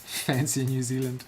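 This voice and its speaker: A South African man chatting casually while livestreaming a digital painting, muttering self-deprecating remarks to himself as he works. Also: mid-range voice.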